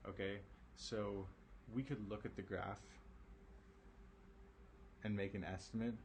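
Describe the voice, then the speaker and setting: Raspy low-voiced male math teacher. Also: steady tone, takes it slowly, a little mellow, a little hiss or hum. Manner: casual, reassuring, many ums and okays. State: raspy, tired voice late in the day. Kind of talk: recorded math lesson.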